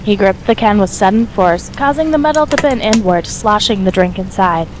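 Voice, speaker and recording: A woman's voice: medium pitch, animated, normal speed, background noise. A woman reading scripted third-person story narration as the narrator of an audio drama.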